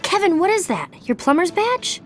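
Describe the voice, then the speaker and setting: A woman's voice: very high voice, sing-song, normal speed. An actress voicing an earnest, sensible teenage girl in a video game cutscene. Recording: game audio with loud music underneath.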